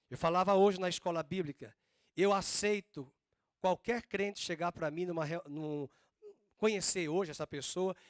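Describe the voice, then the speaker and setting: A man preaching a sermon as pastor, with a drawn-out Northeastern Brazilian accent and slow, emphatic stresses. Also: on the high side, dry recording, clean studio sound. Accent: Northeastern Brazilian Portuguese.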